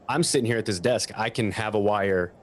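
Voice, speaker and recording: A man's voice: fairly low pitch, brisk, a little hiss or hum. A man giving an informal technical explanation as an audio equipment expert, speaking into a stationary microphone at a desk. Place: at a desk in a room.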